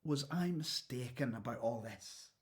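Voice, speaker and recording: An actor playing an imprisoned prophet in a radio play, weary, testy and full of doubt, with a brooding delivery. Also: male voice, mid-range voice, lively intonation, a little slow, lightly acted. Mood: anguished doubt.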